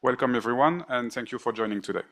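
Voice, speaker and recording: A man hosts a conference panel from the stage, introducing each guest in turn. He speaks at a middling pitch into a stage microphone and often pauses with "uh" and "um" as he reads the bios. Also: fairly bright tone. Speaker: panel moderator.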